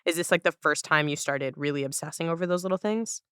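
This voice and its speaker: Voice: mid-range voice, fast, fairly bright tone, clear, resonant tone, no echo, studio quality. A podcast host in casual interview chat, talking in a reflective, wordy way and easing into questions.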